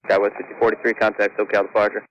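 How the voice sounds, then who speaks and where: A man's voice: fairly low pitch, level tone, racing, dull audio. A man working as an air traffic controller, giving clipped, procedural instructions to aircraft over a radio. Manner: procedural, matter-of-fact. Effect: aviation radio. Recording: noisy, band-limited air traffic radio.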